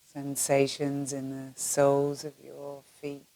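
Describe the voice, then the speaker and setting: A woman teaching yoga nidra, leading a guided relaxation in a soothing, gentle manner with long pauses between phrases. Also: a bass voice, completely flat delivery.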